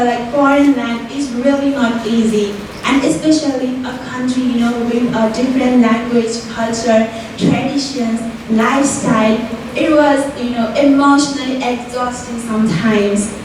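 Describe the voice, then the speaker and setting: Young Nepali-accented woman, fairly high voice, earnest student speech, picked up from a distance in a very echoey hall. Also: fairly even intonation, moderate pace, background noise. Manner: earnest, fills with "like" and "you know". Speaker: student speaker. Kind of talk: prepared stage speech. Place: university event hall. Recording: roomy hall audio from far off.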